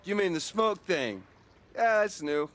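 An actor playing a cocky, wisecracking young American superpowered rebel in a video game. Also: male voice, sits high, big pitch swings, measured pace, a bit bright, full character voice. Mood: wry. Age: young adult. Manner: wisecracking, sarcastic, casual. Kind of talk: video game cutscene acting. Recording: game cutscene with music behind.

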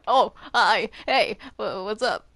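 A woman's voice: medium pitch, slow pace, fairly bright tone, no room sound. A woman playing a grumpy, defensive male cartoon villain in improvised game roleplay, stammering and snapping in denial. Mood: awkward, bored. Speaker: player roleplaying on a Minecraft server.

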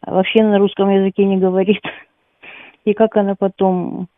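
A woman's voice: mid-range voice, little pitch movement, a little slow, no room sound. Woman w/ on a tinny phone call, dry sound, just talking unscripted. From Donetsk, eastern Ukraine. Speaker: caller, schoolteacher of Russian. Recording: tinny narrowband phone line.

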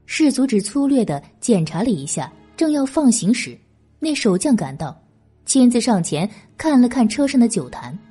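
A woman's voice: fairly high pitch, very animated, moderate pace, warm tone, slightly rough. A woman narrating a historical novel in scripted audiobook style. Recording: background music. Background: music.

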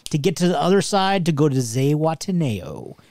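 A man's voice: a little high, lively intonation, a little fast, darkish tone, no echo, studio quality. A man co-hosting a podcast in casual chat, blunt and profane, teasing his partner. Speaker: podcast co-host.

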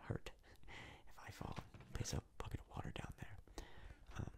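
A man giving soft-spoken whispered ASMR game commentary in a breathy, husky voice, rambling hesitantly and repeating words. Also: normal speed. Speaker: gaming video host.

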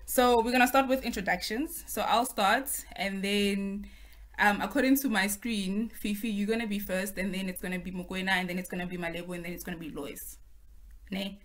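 A woman's voice: mid-range voice, fairly even intonation, fairly quick. A woman in her twenties hosting a casual group discussion on a video call, explaining the plan step by step. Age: 20s. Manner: friendly, organized, explains step by step. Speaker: host. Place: home room on video call. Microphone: laptop or phone on video call.

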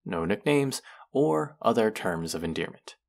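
A man's voice: on the low side, sing-song, measured pace, creaky, full character voice. A man playing a condescending, sardonic young college student, the rude twin in a scripted audio roleplay. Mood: haughty, dismissive. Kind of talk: scripted roleplay addressed to the listener.

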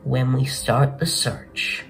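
Slow-paced young male gamer over music. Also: medium pitch, little pitch movement, creaky. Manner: laid-back, slangy, addressing viewers. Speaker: gaming video host. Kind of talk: casual game commentary. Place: small bedroom, indoors.

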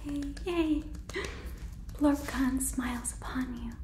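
A young woman playing a naive, earnest alien who speaks haltingly and awkwardly in a soft-spoken ASMR sitcom. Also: high-pitched. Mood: earnest, formal. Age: young adult. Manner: stilted, halting, clipped grammar. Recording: roomy echo, background noise.

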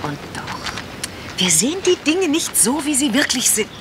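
An actress playing a reflective, fair-minded partner in detection in a German radio crime drama. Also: female voice, high-pitched, very animated, a little slow. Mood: pensive. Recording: clearly noisy retro radio play recording.

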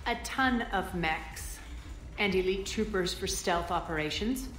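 A woman playing a haughty alien crime boss in a video game, sardonic, deliberate and commanding. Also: lowish voice, a bit bright. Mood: smug, commanding. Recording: slightly roomy, music behind.

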